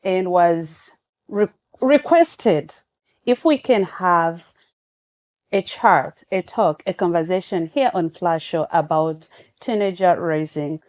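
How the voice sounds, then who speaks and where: A woman hosting an online talk show, making slow, repeated appeals to her viewers. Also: lowish voice, darkish tone.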